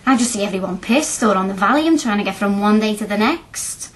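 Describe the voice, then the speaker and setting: An actress playing a chatty, blunt young working-class student in a radio play, speaking colloquially and earnestly. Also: female voice, mid-range voice, lively intonation, a little fast, natural voice, low-quality recording. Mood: earnest, impassioned.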